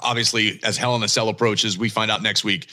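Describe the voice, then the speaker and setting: Bright-voiced energetic male podcast host. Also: lowish voice, brisk. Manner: energetic, emphatic, swears casually. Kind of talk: casual wrestling chat.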